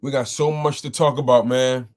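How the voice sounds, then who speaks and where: A man's voice: mid-range voice, fairly even intonation, normal speed. A man hosting a casual live sports call-in stream, his voice a little scratchy as he keeps greeting viewers and repeating himself. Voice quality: slightly scratchy voice. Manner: repetitive, exclaiming, greets chat viewers. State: throat a bit rough. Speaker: host of a sports call-in show.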